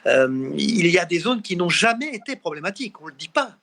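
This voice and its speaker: A man's voice: high voice, sing-song, a little fast, vocal fry, a bit bright. A man from Bordeaux speaking as a panel guest, hesitant and repeating words as he thinks. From Bordeaux, France. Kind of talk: panel discussion by video call.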